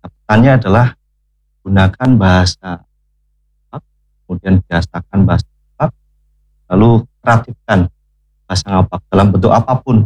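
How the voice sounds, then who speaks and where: A man speaking as a guest on a radio talk show, measured and earnest with pauses. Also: low-pitched, a little mellow, no echo, highs cut off. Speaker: cultural guest. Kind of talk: studio talk-show discussion. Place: radio studio.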